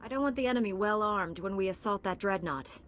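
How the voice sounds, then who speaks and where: A woman's voice: medium pitch, fairly quick, a bit bright, natural voice. A woman playing a composed, resolute knight heroine in a sci-fi video game, speaking directly. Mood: firm. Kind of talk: video game cutscene acting. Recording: long reverb, faint background noise.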